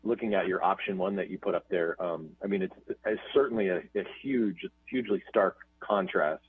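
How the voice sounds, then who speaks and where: A man's voice: sits low, a little fast, breathy edge, dry recording. A man chairing a board meeting on a video call, rambling and repeating words. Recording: tinny phone-like call audio.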